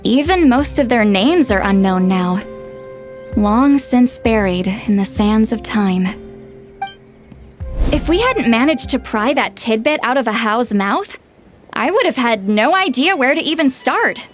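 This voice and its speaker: A woman's voice: on the high side, lively intonation, a little slow, faint music behind. An actress voicing a proud, scholarly young woman in a video game, explaining things in an articulate, self-assured way. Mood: confident, explanatory. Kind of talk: video game dialogue, expository storytelling.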